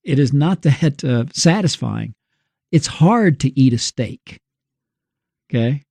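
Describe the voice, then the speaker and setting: A man's voice: medium pitch, expressive, slow pace, gravelly, a little mellow, no room sound. A man co-hosting a talk, explaining plainly in a conversational way with little check-in questions. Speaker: co-host. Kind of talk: conversational commentary.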